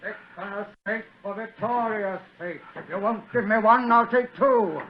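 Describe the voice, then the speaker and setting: A man's voice: high-pitched, a little slow. An actor voicing an eerie Guy Fawkes effigy in a menacing sing-song chant, in 1940s radio drama style. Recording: muffled old radio broadcast.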